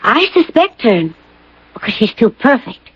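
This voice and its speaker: An actress playing a suspicious, insistent official of a dystopian future, urgent and formally clipped, in radio drama style. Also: female voice, fairly high pitch, very animated, a little slow, breathy edge, heavily acted. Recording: dull, dry old radio recording.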